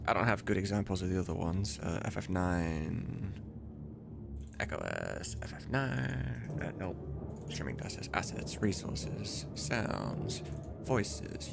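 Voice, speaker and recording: Male streamer and modder: lowish voice, blunt off-the-cuff chat over clear background music. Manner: rambling, blunt, sweary, thinks aloud. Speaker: game-mod developer and livestream host. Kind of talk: casual livestream chat while demonstrating.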